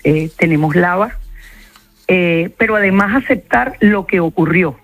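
A woman's voice: fairly low pitch, a little slow, no room sound. A woman with a Venezuelan accent, a psychologist answering interview questions in a reflective way and repeating key words for emphasis.

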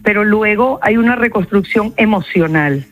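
A woman's voice: mid-range voice, lively intonation, normal speed, faint music behind. A woman with a Venezuelan accent, a psychologist answering interview questions in a reflective way and repeating key words for emphasis.

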